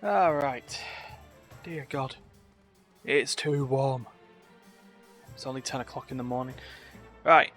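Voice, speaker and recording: A man's voice: medium pitch, takes it slowly, pauses often, fairly bright tone. Man, game streamer, casual playthrough commentary, short muttered fragments, music in the background.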